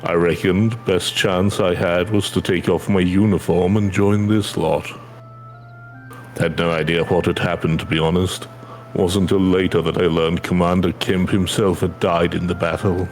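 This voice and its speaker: Man as a deep, weary ex-soldier. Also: little pitch movement, moderate pace, darkish tone, soft background music, natural voice. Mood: resigned, confessional. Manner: plain-spoken, subdued. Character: weary, earnest male ex-soldier in hiding, resigned. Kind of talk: video game dialogue read aloud.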